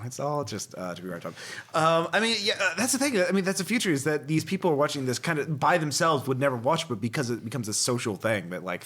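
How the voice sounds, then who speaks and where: A man in casual podcast chat, excitable and sweary, riffing with exclamations. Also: medium pitch. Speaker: podcast panelist.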